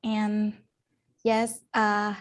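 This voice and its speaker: A 21-year-old woman with a Thai accent giving practice interview answers in English, pausing on ums. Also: mid-range voice, completely flat delivery. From Thailand. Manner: hesitant, with ums. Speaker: third-year university student.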